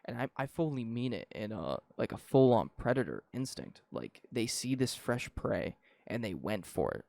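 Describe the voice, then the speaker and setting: Young male podcast co-host, gravelly, lively, swears a lot, dry close mic. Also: medium pitch, moderate pace, no room sound. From Canada. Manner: profane, rambling, animated. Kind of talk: casual opinion chat.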